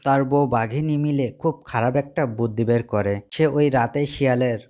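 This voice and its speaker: A man's voice: medium pitch, a little fast. A man narrating a scripted cartoon fable as storyteller.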